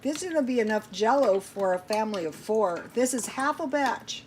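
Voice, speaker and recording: A woman's voice: on the high side, animated, moderate pace, background noise. A woman chatting casually to viewers while cooking at home, thinking aloud in a rambling, folksy way. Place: home kitchen.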